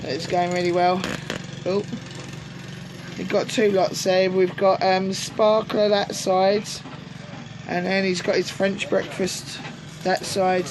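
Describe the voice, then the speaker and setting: A woman with a gruff voice from a sore throat, chatting casually and rambling with many ums as she shows round her greenhouse on camera. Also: mid-range voice, little pitch movement, takes it slowly. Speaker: allotment vlogger. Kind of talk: casual walk-through chat. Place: greenhouse on an allotment, outdoors. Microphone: camera on a tripod. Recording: outdoor noise, lawnmowers and traffic behind.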